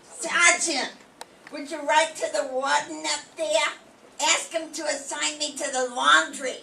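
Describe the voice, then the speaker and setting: Older lady doing a sly pickpocket guy, gravelly and high, bright tone, echoey room far from the mic. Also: steady tone, unhurried, slight background noise, heavily acted. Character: smooth-talking male pickpocket suspect, sly. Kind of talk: amateur radio drama reading. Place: classroom.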